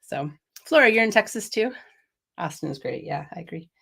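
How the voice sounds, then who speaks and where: A woman's voice: fairly low pitch, lively intonation, normal speed, gravelly, fairly bright tone, husky. A middle-aged woman hosting a casual craft product livestream, chatty and laughing often, a little sniffly from a cold. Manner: chatty, laughs often, reads viewer comments. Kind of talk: casual product demo chat.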